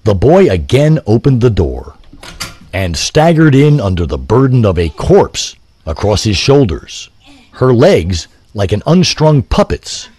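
A man's voice: mid-range voice, very animated, unhurried, dark tone, husky, no echo. A man narrating an audio drama in a grandiose, literary, theatrical style. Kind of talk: theatrical scripted story narration.

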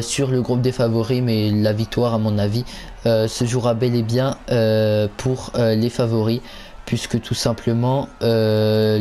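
Male gaming host. Relaxed, warm tone, stumbling casual play-by-play, light noise behind. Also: medium pitch. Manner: hesitant, stumbling, repeats himself. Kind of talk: casual live game commentary.

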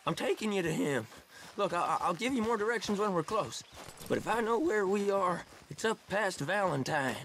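An actor playing a young American outlaw captive in a video game, nervous, stammering and eager to appease. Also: male voice, sits high, lively intonation, normal speed, a bit bright, slight background noise. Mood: anxious. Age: young adult. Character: frightened, pleading young male outlaw captive. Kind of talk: cinematic video game acting.

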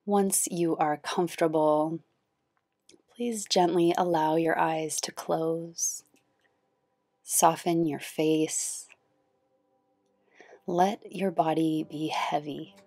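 A woman guiding a yoga nidra meditation in a soothing, gentle, unhurried manner. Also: low voice, steady tone, no room sound, clean studio sound. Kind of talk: guided meditation. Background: background music.

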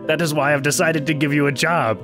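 A man's voice: fairly high pitch, fairly even intonation, a little fast, music in the background, natural voice. A man voicing a stern, possessive villain father in a video game fan story, grave and formal. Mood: commanding.

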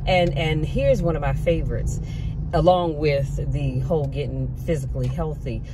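An American woman giving a casual motivational talk in an emphatic, deliberate way, repeating phrases to drive her point home. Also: sits low. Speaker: motivational vlogger. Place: inside a car. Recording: loud car and outdoor traffic noise.

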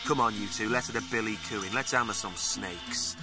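A man's voice: on the low side, moderate pace, music in the background, close to an everyday voice. Man acting a brash, cocky gang leader. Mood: cocky, eager. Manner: brash, urging. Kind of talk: audiobook character dialogue.

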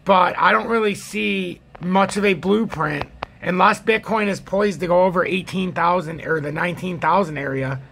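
An American man with a gravelly, husky voice giving casual, rambling, opinionated chart commentary as a trading video host. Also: high-pitched, moderate pace, a bit bright, a little hiss or hum.

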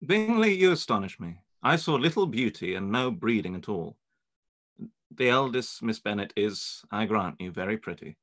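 A young man playing a proud, disdainful Regency gentleman, curt and dry, in a period drama read-through. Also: lowish voice, big pitch swings, moderate pace. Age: young adult.

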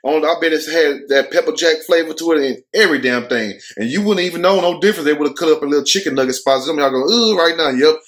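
A man's voice: fairly high pitch, quick pace, few pauses, fairly bright tone. An American man in his thirties giving casual, opinionated commentary as a show host, blunt, slangy and joking, with plenty of swearing. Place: furnished room at home.

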